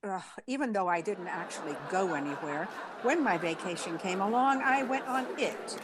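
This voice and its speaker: An actress playing a dry, wisecracking schoolteacher in an old-time radio comedy, with a deadpan manner. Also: female voice, medium pitch, moderate pace, very noisy, natural voice. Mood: defensive. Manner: deadpan, wry.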